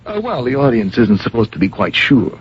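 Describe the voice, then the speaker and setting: Flustered stage manager, very raspy, swooping intonation, nervous stammer, eager to please, muffled old radio drama. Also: male voice, mid-range voice, sing-song, fairly quick. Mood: uneasy, eager. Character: flustered, anxious, deferential male theater stage manager.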